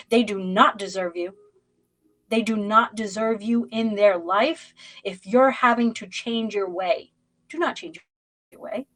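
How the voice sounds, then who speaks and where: Expressive woman giving a live card reading. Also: fairly high pitch, poor audio. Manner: blunt, emphatic, addresses the listener directly. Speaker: tarot reader hosting a livestream.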